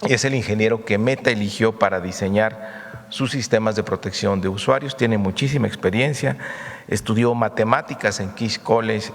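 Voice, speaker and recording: A man with a Mexican accent giving a formal, didactic presentation at a government press conference, setting out points step by step. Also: lowish voice. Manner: didactic, enumerating points with rhetorical questions. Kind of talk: formal public presentation with slides. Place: large hall, official press conference. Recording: reverberant hall.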